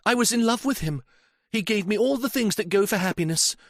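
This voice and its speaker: A man's voice: sits high, expressive, fairly quick, no echo, clean studio sound, pitched above the performer's own voice. A man voicing a distraught, grieving young woman who speaks in impassioned, tearful outbursts.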